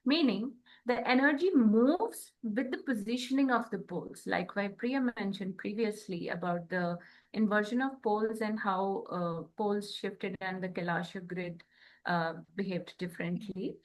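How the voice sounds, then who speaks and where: A woman with an Indian accent giving an explanatory spiritual talk in a deliberate, halting manner, often checking in with short questions. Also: medium pitch, poor audio. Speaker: co-host.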